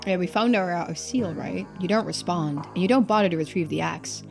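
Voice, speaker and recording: A woman streamer giving chatty game commentary and expressive narration. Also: fairly low pitch, a little fast, vocal fry. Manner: casual, chatty. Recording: music behind the voice. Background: music.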